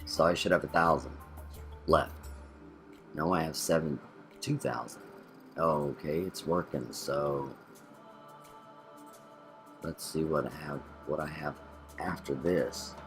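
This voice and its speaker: A man's voice: a bass voice, fairly even intonation, takes it slowly, long pauses, faint music behind. A man co-hosting a gameplay video, thinking aloud as he plays and correcting himself mid-sentence. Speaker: gameplay channel co-host. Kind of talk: casual gameplay commentary.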